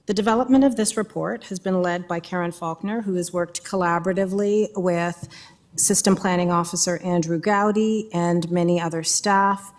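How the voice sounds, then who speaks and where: A woman introduces a report to a board meeting, reading prepared remarks in a measured, formal delivery. Her voice sits a little low for a woman. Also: darkish tone. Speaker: school board director.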